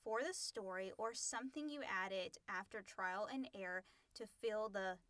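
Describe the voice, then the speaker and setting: A woman's voice: medium pitch, measured pace, fairly bright tone. A woman co-hosting a casual question and answer podcast, speaking in an informal conversational style. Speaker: podcast co-host reading listener questions. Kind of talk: casual Q&A chat with read-out questions.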